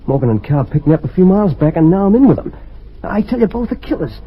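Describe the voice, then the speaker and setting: A man's voice: high voice, very animated, fast talker, husky, exaggerated voice. A man playing a nervy small-time crook, uneasy but tough-talking, in an old-time radio drama. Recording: muffled old radio recording with hiss.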